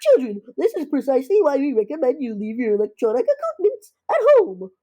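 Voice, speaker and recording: Young girl as a shrill, fussy school principal. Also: huge pitch swings, a little fast, warm tone, no room sound, highs cut off. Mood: fussy, flustered. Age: child about twelve. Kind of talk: comedic school radio drama.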